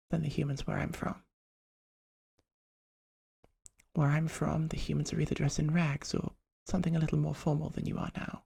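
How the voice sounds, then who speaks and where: A performer voicing a shy, polite vampire artist in a soft-spoken, breathy ASMR roleplay, hesitant and gently formal, often close to a whisper. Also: mid-range voice, exaggerated voice. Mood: curious, courteous.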